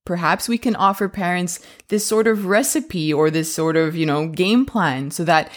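A woman's voice: fairly low pitch, moderate pace. A woman hosting a parenting science podcast, reading the intro and sponsor thanks, then opening the interview with enthusiastic questions. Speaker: podcast host.

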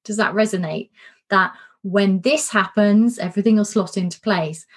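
A middle-aged woman talks through a workshop in a relaxed, candid way, often repeating words for emphasis. Her voice sounds a little worn, as if she's starting to lose it. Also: mid-range voice, moderate pace. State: slightly losing her voice. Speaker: coach and podcast host. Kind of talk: casual spoken workshop talk.

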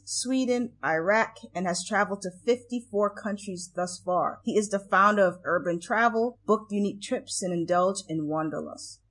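A woman co-hosting a travel podcast, greeting listeners and introducing her guest in an upbeat, friendly way. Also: medium pitch. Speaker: podcast co-host.

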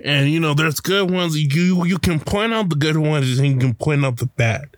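A man telling a personal story about a protest in a casual, halting way, with many fillers and restarts. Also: a little high, no room sound. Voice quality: loud voice.

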